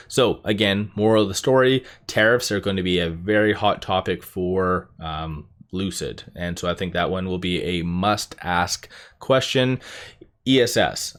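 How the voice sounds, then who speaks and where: A man giving informal investor commentary as a YouTube host, rambling as he thinks out loud. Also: lowish voice. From Canada.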